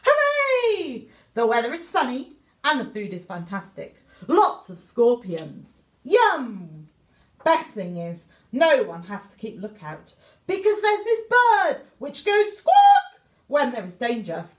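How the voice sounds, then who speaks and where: A woman's voice: high-pitched, huge pitch swings, slow. A woman reading a children's picture book aloud in an exaggerated, theatrical way, as a young meerkat writing letters home. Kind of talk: expressive children's story reading. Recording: echoey room.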